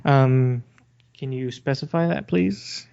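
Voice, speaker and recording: A man's voice: medium pitch, slow, creaky, mellow, slight background noise. A man hosting a podcast, talking casually and bluntly as he explains his opinions. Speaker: podcast host. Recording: dull lo-fi recording.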